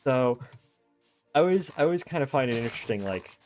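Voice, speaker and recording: A man's voice: medium pitch, expressive, fairly quick, darkish tone, faint music behind. An American man streaming a game, chatting casually and thinking aloud in long digressions. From United States. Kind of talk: casual chat while gaming.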